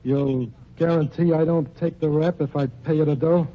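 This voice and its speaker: A man's voice: fairly high pitch, steady tone, normal speed, close to an everyday voice. A man playing a tough-talking gangster. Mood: defensive. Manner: blunt street slang and ungrammatical speech. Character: tough, defensive male nightclub gangster. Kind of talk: 1940s radio acting. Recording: dull lo-fi 1940s radio recording, faint hiss.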